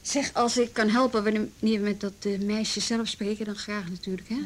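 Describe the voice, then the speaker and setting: An actress playing a seasoned, thoughtful juvenile police officer in a frank, naturalistic radio drama style. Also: female voice, medium pitch, steady tone, normal speed, close to an everyday voice. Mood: matter-of-fact. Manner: frank, thoughtful, matter-of-fact.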